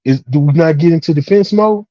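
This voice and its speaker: A man talking casually and profanely in a discussion, emphatic, repeating himself and halting as he builds his point. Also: on the high side, dark tone. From Texas, United States. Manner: emphatic, repetitive, halting phrasing. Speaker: discussion participant. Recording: dull, roomy, muffled lo-fi audio.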